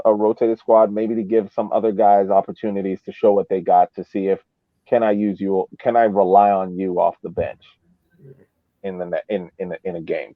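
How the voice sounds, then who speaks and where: A man giving casual sports commentary on a podcast, haltingly thinking aloud over thin call audio. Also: low voice, dry recording, phone-quality sound. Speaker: podcast co-host.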